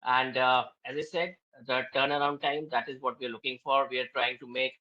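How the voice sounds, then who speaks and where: A man explaining how work flows on a webinar, pausing often with fillers. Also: medium pitch, fairly even intonation, dull audio. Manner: hesitant, frequent fillers. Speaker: webinar speaker. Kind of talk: explaining a business process. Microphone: video call.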